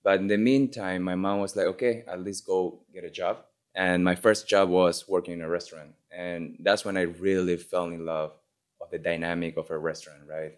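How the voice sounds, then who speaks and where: A man's voice: low voice, fairly even intonation, moderate pace. A man from Argentina, a chef, telling his story fluently and easily in an interview. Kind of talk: interview answers.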